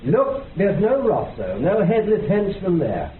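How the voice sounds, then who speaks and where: A sober colleague insisting on reason in a radio horror play. His voice is a little high and animated, in a roomy echo. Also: a little slow, heavily acted. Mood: insistent. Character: sober colleague debunking a friend's delusion. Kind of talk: radio drama acting. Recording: echoey, muffled, faint hiss.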